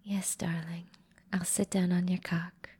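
A woman voicing an affectionate, ancient horned and tailed demon woman in an intimate audio roleplay, murmuring softly to the listener in a breathy whisper. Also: lowish voice, level tone. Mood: coaxing. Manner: soft, slow, intimate murmuring.

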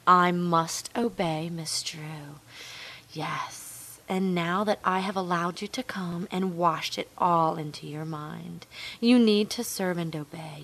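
A woman speaking in an intimate, commanding hypnosis style, coaxing and repetitive, with a breathy voice that often drops to a whisper. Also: fairly low pitch. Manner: slow, drawn-out, dominant and coaxing. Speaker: erotic hypnosis performer.

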